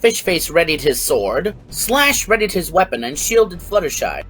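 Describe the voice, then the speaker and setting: Animated narrator reading fan fiction. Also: medium pitch, normal speed, soft background music, poor audio.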